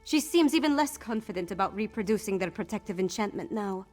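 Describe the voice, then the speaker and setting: A woman playing a brisk, determined young noblewoman in a fantasy video game, speaking frankly and in a businesslike way. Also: mid-range voice, lively intonation, darkish tone, close to an everyday voice. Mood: concerned. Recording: game music behind the voice.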